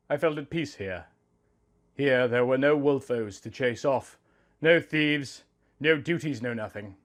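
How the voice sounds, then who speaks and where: A man reading a scripted first-person story as narrator, with music behind him. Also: mid-range voice.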